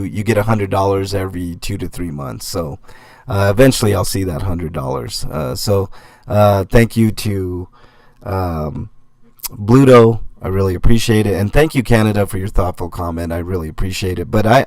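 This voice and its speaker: Man, low voice, dry close sound, halting casual talk, lots of fillers. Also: a little mellow, no echo. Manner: halting, many fillers and restarts. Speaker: channel host reading viewer comments. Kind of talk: casual livestream comment chat.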